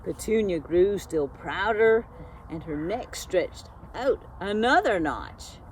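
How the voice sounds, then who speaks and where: Slow, animated woman reading a storybook. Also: medium pitch, a little hiss or hum. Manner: deliberate, dramatic emphasis and repetition. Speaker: school media specialist reading a storybook. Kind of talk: expressive children's storybook narration.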